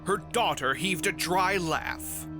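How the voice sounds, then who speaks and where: A male narrator reads a scripted story. His voice sits a little high for a man, and music plays clearly behind him. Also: slow.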